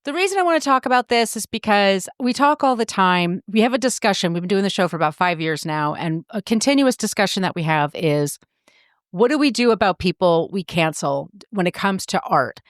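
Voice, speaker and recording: A woman's voice: medium pitch, animated, brisk, no echo. Lady podcast host chatting away, jokey, swears a bit, dry close mic. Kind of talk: casual podcast chat.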